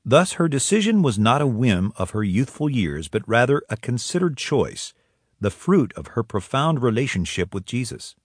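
Man, documentary narrator, scripted, measured, animated intonation. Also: mid-range voice, breathy edge, no echo, studio quality.

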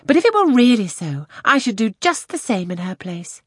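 Headstrong young heroine for an audiobook woman narrator acting: raised pitch, forceful, fervent and eager. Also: normal speed, breathy edge, no room sound, clean studio sound. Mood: ardent, eager. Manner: emphatic, excited, fervent. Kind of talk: classic novel audiobook character voice.

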